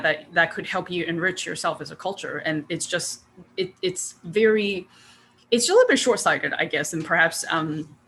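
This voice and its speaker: A woman's voice: lowish voice, pushed and bright, a little hiss or hum. A woman originally from Taiwan, an author guest on a podcast, speaking thoughtfully and hedging with frequent ums. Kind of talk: casual conversational interview.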